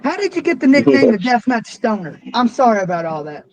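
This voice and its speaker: A man's voice: very high voice, very animated, dry recording, low-quality recording. A man in his twenties co-hosting a casual fan interview, blunt and heavy on the swearing. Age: 20s. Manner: profane and blunt, quick to rant. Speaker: podcast co-host.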